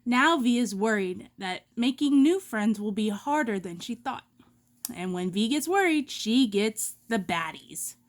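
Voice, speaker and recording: A woman reading a children's storybook aloud in an expressive, dramatic way. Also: a little high, measured pace. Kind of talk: animated storybook read-aloud.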